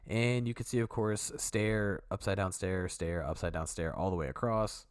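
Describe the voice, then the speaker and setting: A man's voice: low-pitched, moderate pace, clean tone, no room sound, clean studio sound. A man with a cold, sniffling a little, walking through a build step by step in an easygoing, rambling way that corrects itself as he goes. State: sick, sniffly. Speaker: video tutorial host. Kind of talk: casual voice-over walkthrough.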